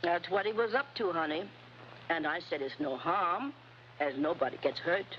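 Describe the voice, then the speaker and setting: A woman's voice: on the low side, moderate pace, natural voice. A woman playing a flirtatious, carefree informant, coaxing and playful in broken English, in 1950s-style television drama. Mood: casual, carefree. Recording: dull, hissy old TV soundtrack.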